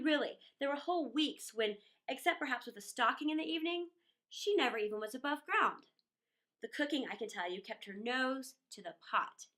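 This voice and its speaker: Woman reading a storybook, high and swooping. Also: a bit bright. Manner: theatrical, playful, with conversational asides. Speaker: children's storyteller reading a book aloud. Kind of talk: expressive storybook read-aloud for children.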